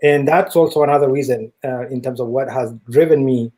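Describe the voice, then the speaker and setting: A middle-aged man with an East African accent speaks in a mellow, relaxed voice of medium pitch. He explains his points as an interview guest, earnest and measured, often feeling for the next phrase. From East Africa, Ethiopia and Kenya. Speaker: interview guest, tech entrepreneur.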